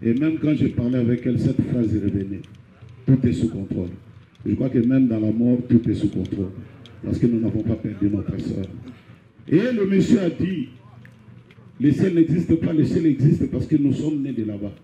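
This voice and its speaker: A man's voice: animated, normal speed, dark tone, reverberant, muffled recording. Congolese man preaching at a funeral. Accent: Congolese French. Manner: preaching with a parable, rising pitch on key phrases. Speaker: pastor. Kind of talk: funeral sermon.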